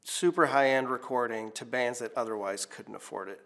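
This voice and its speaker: A man in his forties, a recording studio owner, talking in a relaxed, rambling way with many fillers in a documentary interview. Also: medium pitch, fairly quick, creaky. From United States.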